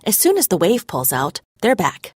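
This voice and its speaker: A woman narrating a scripted story as a read-aloud, expressive and clearly phrased. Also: on the low side, normal speed, no room sound, studio quality.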